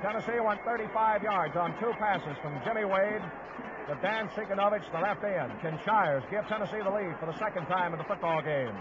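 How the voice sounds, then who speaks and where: A man's voice: high-pitched, fairly quick. A man calling live play-by-play football commentary on a 1950s radio broadcast. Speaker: play-by-play sports announcer. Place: stadium broadcast position. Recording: noisy, muffled old 1950s radio broadcast. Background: outdoor stadium crowd noise.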